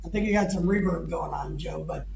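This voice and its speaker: A man's voice: fairly high pitch, fairly quick, vocal fry, a little mellow. A man hosting a livestream who jokes and teases while he reads chat comments aloud. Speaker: livestream host. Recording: echoey room.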